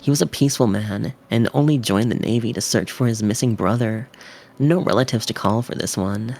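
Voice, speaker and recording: A woman voicing a dry, mock-solemn student gamer in a game, needling in a deadpan, theatrically grave manner. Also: a bass voice, a little fast.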